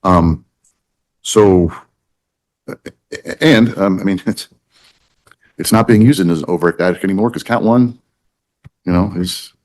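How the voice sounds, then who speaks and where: A man speaking as a judge in a courtroom discussion, thinking aloud in a halting, deliberative way with false starts. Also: on the low side. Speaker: judge presiding over a court hearing.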